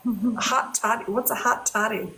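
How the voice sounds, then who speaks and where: A woman with an English accent chatting casually on a live stream, her voice hoarse and croaky, often saying "like" and "you know". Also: on the high side, normal speed, pushed and bright, slight background noise, rough recording. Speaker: medium.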